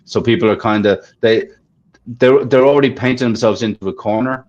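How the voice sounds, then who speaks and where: A man's voice: mid-range voice, a little fast, a little mellow. A man hosting a casual discussion, chatty, often checking for agreement. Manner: chatty, asks for agreement with "right?". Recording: dull lo-fi audio.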